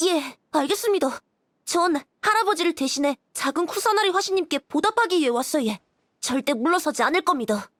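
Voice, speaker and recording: A woman's voice: sits high, lively intonation, a little fast, a bit bright. A woman voicing a nervous but determined young boy in a video game, with a childlike, earnest voice. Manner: earnest, polite, occasional regional dialect.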